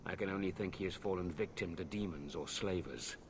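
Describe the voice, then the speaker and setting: A solemn, grieving knight officer from a video game. His voice sits very low and carries a grave, measured weight, with a steady hiss of noise under it. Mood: stern.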